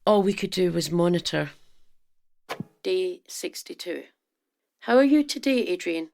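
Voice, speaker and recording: A woman playing an earnest, clinical research scientist in a radio drama, formal and measured with some anxiety underneath. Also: lowish voice, expressive, gravelly, darkish tone.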